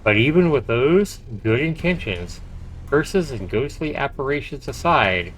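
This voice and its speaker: A man's voice: medium pitch, lively intonation, measured pace, fairly bright tone, a little hiss or hum, poor audio. A man livestreaming a game, reading its narration aloud dramatically with casual, profane asides. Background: faint game music.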